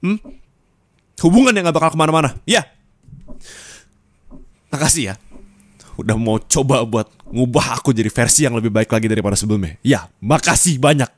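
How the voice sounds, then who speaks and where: Lively, reproachful man, close and dry. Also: normal speed, long pauses, no echo. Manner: bitter and reproachful, swinging from low muttering to raised angry scolding. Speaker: ASMR roleplay creator. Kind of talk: ASMR boyfriend roleplay, scolding a partner.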